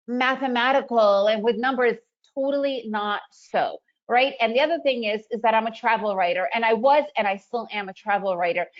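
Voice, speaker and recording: A fairly high-voiced woman gives an upbeat trading webinar, stressing her points and firing off rhetorical questions. Russian is her first language, though she grew up in the United States. The sound is dull and lo-fi. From former Soviet Union, raised in the United States. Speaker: trading presenter. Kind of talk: webinar presentation.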